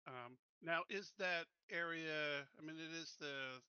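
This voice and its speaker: A man's voice: fairly high pitch, unhurried, pushed and bright, smooth, no echo, muffled recording. A man playing a tabletop roleplaying game, talking casually as he makes decisions.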